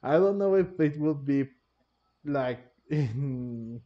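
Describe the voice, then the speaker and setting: A man giving casual, rambling commentary on a game playthrough, halting and repeating himself. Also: on the high side, expressive, dull audio. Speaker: gamer, video host. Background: game music.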